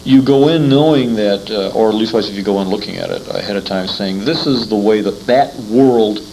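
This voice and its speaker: Middle-aged American male interviewer, lowish gravelly voice, casual and halting, faintly noisy amateur tape. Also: a little mellow. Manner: halting, repeats words as he reasons. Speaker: interviewer, Air Force veteran. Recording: slightly noisy amateur recording with room ambience.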